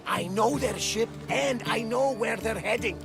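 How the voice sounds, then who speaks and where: An actor playing a jovial, weathered ship captain in a video game, with a gravelly, hearty delivery. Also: male voice, high-pitched, music in the background. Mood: cheerful. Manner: hearty, theatrical, unhurried. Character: jovial, weathered male Greek ship captain, middle-aged or older. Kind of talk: video game character acting.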